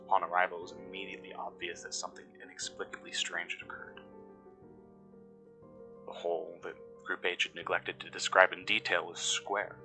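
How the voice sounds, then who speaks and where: Deep, sharp-voiced, brooding man playing an uneasy excavation worker. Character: uneasy, cynical male excavation worker recording an audio log. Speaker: narrator of a fictional audio log. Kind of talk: scripted first-person mystery narration.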